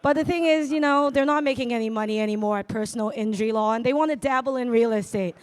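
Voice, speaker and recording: A woman with a raspy voice, loud and brash with self-mocking humor, speaking on stage into a handheld mic as a notary at a business event. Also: fairly high pitch, fast. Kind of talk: live stage sponsor talk.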